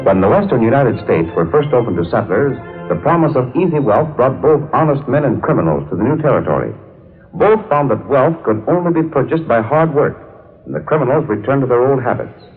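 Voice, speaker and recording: A man's voice: mid-range voice, very animated, fairly quick, soft background music. A man giving stirring, declamatory scripted narration for a 1940s radio western. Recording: thin, phone-like vintage radio recording.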